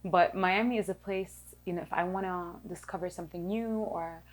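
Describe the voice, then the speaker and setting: Chatty low-voiced woman guest. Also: steady tone, a little fast, rough recording. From Lebanese, raised in Kuwait. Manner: chatty, many fillers, reflective. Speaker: nutritionist, podcast guest. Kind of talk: casual interview chat.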